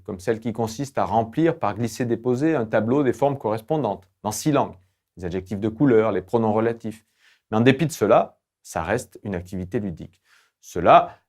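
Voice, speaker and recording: A man delivers scripted educational narration as an online course lecturer, in a measured, formal, didactic manner. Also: medium pitch, darkish tone.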